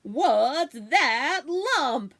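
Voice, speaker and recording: A woman voicing a brash, excitable male talking rabbit in a storybook, with loud theatrical, indignant delivery. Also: high voice, drawn-out words, voice pushed up, exaggerated voice. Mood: theatrical shouting.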